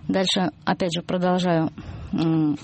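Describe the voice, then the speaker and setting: A woman's voice: fairly low pitch, steady tone, measured pace, vocal fry. A woman hosting a radio music show, reading aloud and chatting with hesitant fillers between phrases. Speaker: radio host.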